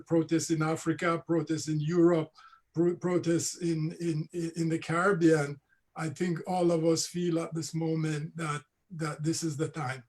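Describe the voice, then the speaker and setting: A man's voice: fairly high pitch, darkish tone. A man on a panel over a video call, speaking hesitantly with many fillers. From United States.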